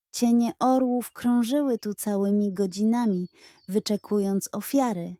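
A woman narrating a scripted bedtime adventure story for children in a slow, dramatic storytelling manner, recorded close on a studio microphone. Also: mid-range voice, warm tone, no echo.

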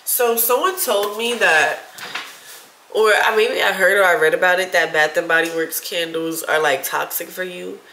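A woman's voice: fairly low pitch, moderate pace, pushed and bright. Stuffy-nosed woman chatting in an echoey room. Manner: chatty, addresses viewers as y'all. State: stuffy nose. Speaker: vlogger. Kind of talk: casual haul vlog. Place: her apartment, indoors.

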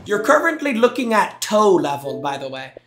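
A man's voice: sits high, sing-song, normal speed, fairly bright tone, reverberant, slight background noise. A young man streaming on a headset mic in a small room, reacting to gameplay clips with teasing jokes and thanking his chat. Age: young adult. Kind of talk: casual live-stream commentary.

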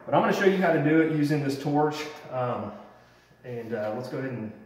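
A man's voice: medium pitch, animated, fairly quick, recorded from a distance. A man in his thirties casually walking viewers through a DIY woodworking project, chatty and rambling, in a large unfinished basement. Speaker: home renovation vlogger. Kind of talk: casual how-to explanation. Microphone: camera-mounted mic, a few steps away. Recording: roomy echoey basement, voice off-mic.

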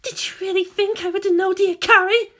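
Very high, pleading young captive woman. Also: steady tone, brisk, pushed and bright. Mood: fierce, vengeful. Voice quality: breathy. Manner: pleading, emotional. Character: frightened, tearful young woman held captive, secretly fierce.

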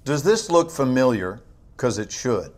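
Controlled, probing government interrogator. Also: male voice, mid-range voice, big pitch swings, unhurried. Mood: coolly pressing. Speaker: video game voice actor. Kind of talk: cinematic game drama acting.

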